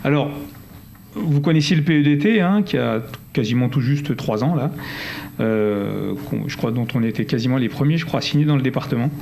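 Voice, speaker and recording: A man's voice: medium pitch, lively intonation, brisk, vocal fry, darkish tone. A man with a French accent presenting formally as a local councillor, partly improvised, in a wordy, digressive, self-correcting manner. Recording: faint background noise.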